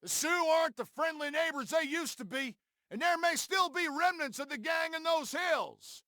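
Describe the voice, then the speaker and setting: An actor playing a sly, boastful old western sheriff in a video game, gravelly, folksy and mocking. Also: male voice, very high voice, animated, moderate pace, bright, forceful tone, no echo, studio quality, heavily acted. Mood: warning, heated. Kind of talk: scripted game cutscene acting.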